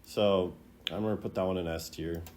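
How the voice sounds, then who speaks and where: A man's voice: sits low, fairly even intonation, normal speed, a little mellow, a little hiss or hum. A man hosting a video in casual unscripted commentary, rambling and hesitant in a laid-back way. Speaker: host ranking game voice lines.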